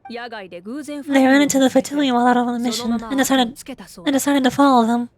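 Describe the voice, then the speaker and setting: A woman reading a game character's dialogue aloud, voicing a calm character with a steady explaining tone. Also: high voice, normal speed, natural voice.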